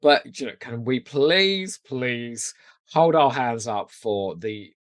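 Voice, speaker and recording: A British man co-hosting a podcast, talking casually and giving his opinions with animated, sweary storytelling. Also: mid-range voice, slow pace, a crowd in the background. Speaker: podcast co-host.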